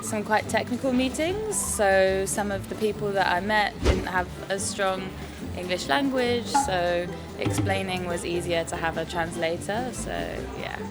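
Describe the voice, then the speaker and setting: A woman of ordinary pitch talks quickly and casually about her trip. Loud music plays beneath her voice. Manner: speaks quickly. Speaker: traveler being interviewed. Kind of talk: casual interview answers.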